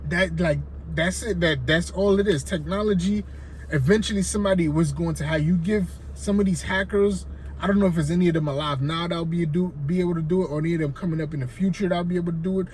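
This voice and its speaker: Haitian guy rambling to camera, voice a bit high, some faint noise behind. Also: fast, darkish tone. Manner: loose, rambling, frequent "right?" check-ins. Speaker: finance vlogger. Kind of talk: casual talk to camera.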